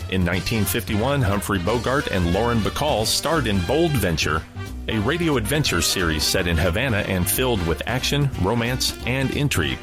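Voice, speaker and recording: A man hosting a radio program, giving scripted introductions to classic old-time shows. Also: fairly low pitch. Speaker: radio show host.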